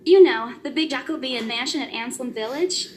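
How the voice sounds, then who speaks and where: An actress playing a sassy young woman estate agent in a visual novel game, expressive and informal. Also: high voice, moderate pace, faint music behind, close to an everyday voice. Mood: casual chatty.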